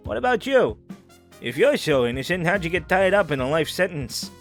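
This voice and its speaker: A male actor plays a friendly crook behind bars in an audio drama. His voice sits a bit high and swings around in lively fashion. He talks casually and playfully, always teasing his cellmate. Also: normal speed, soft background music. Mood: wry, chatty. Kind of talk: audio drama character acting.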